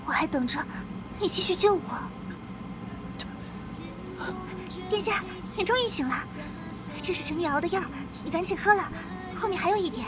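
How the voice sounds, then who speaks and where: Woman voicing a very high-pitched, earnest young noblewoman. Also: fast, music in the background, poor audio. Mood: scolding, urgent. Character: devoted, outspoken young noblewoman of an imperial court. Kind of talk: dramatic period animation dubbing. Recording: clear background noise behind voice.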